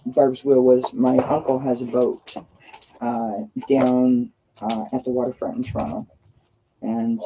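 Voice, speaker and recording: Scratchy-voiced woman livestreaming over background noise. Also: extremely low pitch. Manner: friendly, addresses viewers as friends. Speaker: livestream host reading oracle cards. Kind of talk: casual live chat with viewers. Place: outdoors by a firepit.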